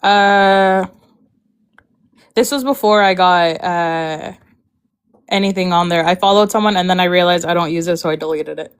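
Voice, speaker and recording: A woman's voice: on the low side, moderate pace, pauses often, smooth. A woman guest on a casual livestream chat, frank and rambling, often trailing off mid-thought.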